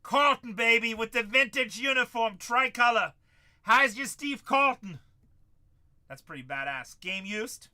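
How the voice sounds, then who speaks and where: Man, forties, card break host, high voice, very bright tone, loud card callouts, chatty banter. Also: expressive, measured pace. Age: 40s. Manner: banters with chat, calls out cards loudly. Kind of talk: live-stream card break chat.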